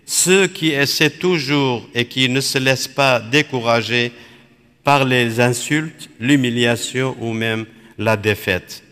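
A man from Iraq, a Dominican friar whose first language is Aramaic, speaks in short, measured phrases with long pauses between them. His delivery is unhurried and contemplative. His voice carries a long reverb, as if spoken in a large hall. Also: medium pitch, pushed and bright, clear, resonant tone. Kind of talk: slow reflective spiritual talk.

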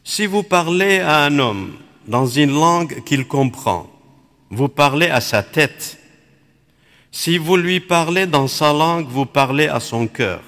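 Man, from Iraq, Aramaic first language, Dominican friar, very slow measured phrasing, long hall reverb. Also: on the high side, expressive, a bit bright. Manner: measured, pausing between short phrases. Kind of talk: slow reflective spiritual talk.

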